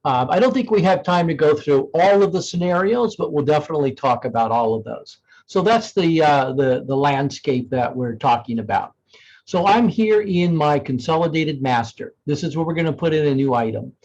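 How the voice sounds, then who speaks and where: Higher-voiced man giving a software demo, dull audio. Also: animated, moderate pace. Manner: conversational, explanatory, thinking aloud. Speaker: software demonstrator. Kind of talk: informal software demo walkthrough.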